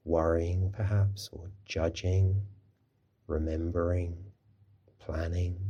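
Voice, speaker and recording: A man with a low voice and a soft husky edge leads a guided meditation. His tone is dark and relaxed, and he gives each instruction gently and without hurry, as a mindfulness teacher would. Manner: gentle, unhurried, soothing instruction. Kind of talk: guided body scan meditation.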